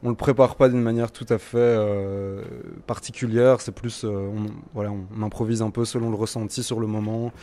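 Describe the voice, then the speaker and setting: Hesitant young Belgian man, low voice. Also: level tone, moderate pace, hardly any pauses, warm tone. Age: 20s. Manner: hesitant, many fillers and restarts. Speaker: musician. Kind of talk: festival interview.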